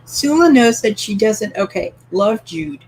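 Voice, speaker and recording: A woman's voice: mid-range voice, big pitch swings, a little slow, a little mellow, a little hiss or hum, poor audio. A woman from Canada hosting a live-streamed book club, asking open questions and reading viewer comments aloud with light laughter.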